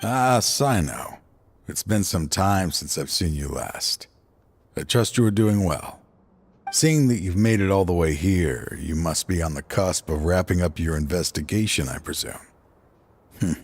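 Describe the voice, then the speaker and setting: Game villain, older man: husky, deliberate, brooding, heavy with regret and world-weariness. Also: sits low, expressive, exaggerated voice. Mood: measured, knowing. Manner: slow, brooding, sighing delivery. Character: weary, regretful older male villain, former partner turned selfish. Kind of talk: dramatic video game voice acting.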